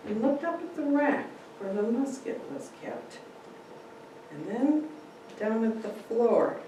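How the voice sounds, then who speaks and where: A woman giving a theatrical reading of a story, with deliberate, dramatic phrasing. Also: mid-range voice. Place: indoor room, speaking to a group. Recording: very echoey room, distant mic, faint noise.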